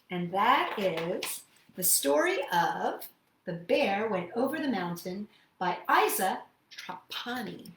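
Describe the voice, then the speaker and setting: A woman's voice: mid-range voice, fairly bright tone, echoey room, poor audio. A woman hosting a children's storytime, reading and chatting expressively and speaking directly to young listeners. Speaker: children's storytime host and singer. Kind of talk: children's storytime read-aloud and sing-along.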